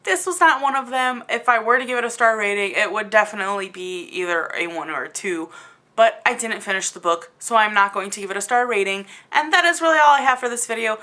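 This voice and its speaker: Female book vlogger, high and forceful voice, incredulous and emphatic, casual rambling delivery. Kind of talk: casual rambling video review.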